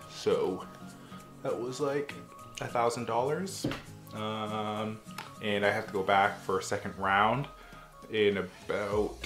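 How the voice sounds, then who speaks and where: Young male vlogger, slightly nasal with a cold, low voice, echoey room, faint music behind. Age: young adult. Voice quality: a little nasal. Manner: relaxed, many ums and restarts. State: getting a cold. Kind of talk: casual chat to camera. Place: home living room, indoors.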